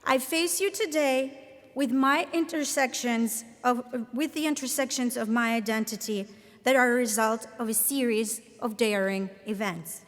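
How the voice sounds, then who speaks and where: A woman in her thirties with a Latvian accent, giving a formal commencement address in a measured, earnest, deliberate way. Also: on the high side, fairly bright tone. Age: 30s. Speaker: commencement speaker, professor. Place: large ceremony hall. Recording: reverberant hall sound.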